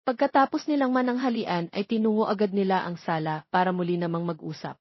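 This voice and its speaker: A woman's voice: medium pitch, quick pace, no echo, muffled recording. A woman with a Filipino accent reading a scripted romance audiobook, voicing the dialogue as she goes. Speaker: audiobook narrator. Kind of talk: scripted romance story narration with voiced dialogue.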